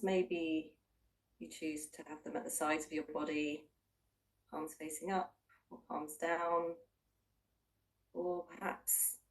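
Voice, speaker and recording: A woman with a low voice leads a guided meditation, sitting a little way from the microphone. She gives gentle, invitational instructions, leaving long pauses between unhurried phrases. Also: far from the mic, rough recording. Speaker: mindfulness practitioner leading a guided body scan.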